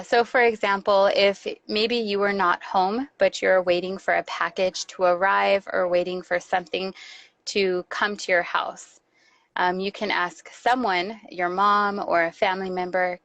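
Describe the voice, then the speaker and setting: A woman's voice: mid-range voice, little pitch movement, unhurried, creaky, fairly bright tone, dry recording. A woman from Los Angeles with an American accent, a guest teacher patiently explaining English phrases. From Los Angeles, California, USA.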